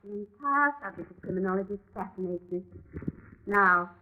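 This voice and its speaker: Woman playing a brisk British assistant, tinny old radio. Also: mid-range voice, animated, natural voice. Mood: brisk, insistent. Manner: crisp, businesslike, insistent. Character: brisk, efficient, self-assured female English museum assistant. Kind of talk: 1940s-50s radio drama acting. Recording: tinny, noisy vintage radio recording.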